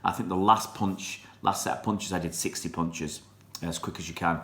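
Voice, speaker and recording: A deep, low-pitched man talks casually to his live-stream viewers. He is middle-aged, chatty and a bit rambling, and he greets people by name as he reads their comments. The chat is loose and unhurried. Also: steady tone, a bit bright. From born in Pakistan, raised in England. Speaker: fan channel live streamer.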